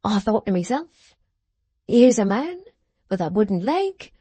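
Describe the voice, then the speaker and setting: A woman's voice: medium pitch, very animated, unhurried, warm tone, dry recording. A woman voicing a jovial, boastful older man who speaks in broad, ungrammatical English, eager and enthusiastic. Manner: broad, rough-grammared, enthusiastic.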